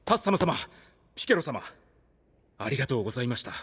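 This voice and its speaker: An actor playing a humble, worried underling with a husky voice and hesitant, deferential manner in a Japanese anime voice drama. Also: male voice, mid-range voice, big pitch swings, fast talker, fairly bright tone. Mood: anxious, grateful. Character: humble, worried male underling of a gang boss. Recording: long hall reverb.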